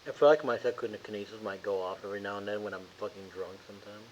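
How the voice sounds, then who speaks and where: A man's voice: fairly low pitch, steady tone, fast, a little mellow. A man chatting casually as a player in a tabletop game. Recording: dull, faintly hissy home recording.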